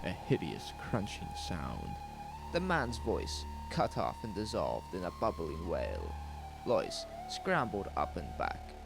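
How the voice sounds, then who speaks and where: A man's voice: deep voice, wildly swooping pitch, slow, vocal fry, over music. A man narrating a scripted horror story in a grim, dramatic manner.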